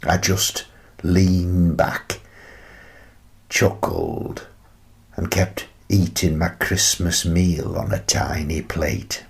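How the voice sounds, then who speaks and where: An actor playing a wry, contented older recluse who muses in a chatty, gently amused way in a radio play monologue. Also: male voice, sits low, fairly even intonation, very slow, creaky, heavily acted.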